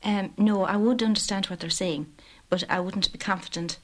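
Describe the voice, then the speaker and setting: A woman's voice: mid-range voice, fairly even intonation, moderate pace. A woman talking about her family in a radio documentary interview, hesitant, with frequent fillers and restarts. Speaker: mother interviewed about raising bilingual children.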